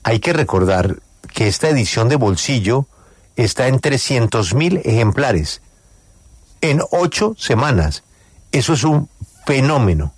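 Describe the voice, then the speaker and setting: A man's voice: mid-range voice, big pitch swings, unhurried, slightly rough, no room sound. A man hosting a live radio interview, speaking emphatically and repeating key phrases.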